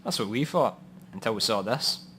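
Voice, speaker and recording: A man's voice: fairly low pitch, animated, normal speed, bright, forceful tone, slightly rough, exaggerated voice. A man playing a matter-of-fact, grimly wry police contact in a noir radio drama, speaking bluntly and dryly. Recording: audible background noise and ambience.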